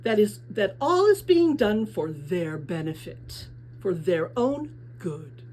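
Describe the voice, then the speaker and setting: A woman's voice: medium pitch, sing-song, slow, a little mellow, a little hiss or hum. A woman voicing an immense, pompous and menacing male mayor in a children's storybook, scolding in a ponderous manner. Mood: pompous, lecturing. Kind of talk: children's novel read aloud.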